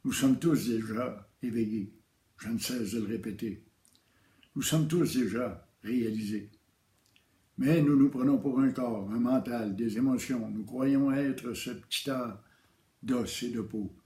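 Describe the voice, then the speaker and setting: A man giving a calm, measured spiritual teaching, repeating key phrases. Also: mid-range voice. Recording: roomy echo.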